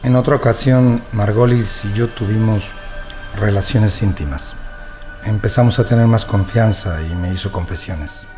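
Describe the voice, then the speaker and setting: A man's voice: on the low side, moderate pace, natural voice. An actor in an audio drama playing a wronged male prisoner who recounts his ordeal as sober testimony. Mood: recollecting. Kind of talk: first-person audio drama narration. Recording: music bed behind the voice.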